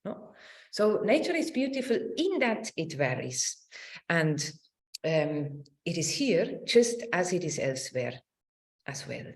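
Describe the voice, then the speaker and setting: A middle-aged woman giving an academic lecture over a video call, with a halting, searching delivery that circles back over words. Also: fairly low pitch, sing-song. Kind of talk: academic lecture with slides and read quotations. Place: indoors on a video call with screen sharing.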